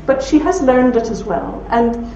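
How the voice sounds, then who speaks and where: A woman with a broad Belfast accent speaking to an audience, telling anecdotes with warmth and laughter. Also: on the high side, measured pace, slight background noise. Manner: warm anecdotal storytelling with laughs. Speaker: speaker at a talk. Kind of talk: talk with audience questions. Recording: roomy hall from far off, dull.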